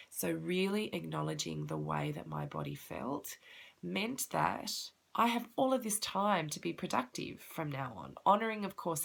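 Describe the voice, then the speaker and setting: A woman's voice: low voice, vocal fry, fairly bright tone, breathy edge. A woman with a congested, sniffly voice, talking earnestly and heartfelt in a personal reflective talk to her viewers. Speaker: wellness vlogger.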